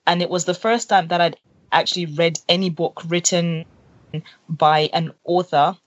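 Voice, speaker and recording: A woman's voice: on the low side, steady tone, normal speed, no echo, lo-fi sound. A young woman from Zimbabwe, a company co-founder, talking earnestly in an interview with frequent fillers. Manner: earnest, lots of fillers and "literally". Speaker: co-founder guest. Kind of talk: interview conversation.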